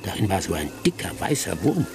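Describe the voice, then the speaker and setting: Gravelly old village storyteller. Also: male voice, low-pitched, sing-song, noisy background, exaggerated voice. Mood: wry storytelling. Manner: unhurried, folksy storytelling. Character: old male Ghanaian village elder, wry storyteller. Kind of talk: radio drama first-person narration.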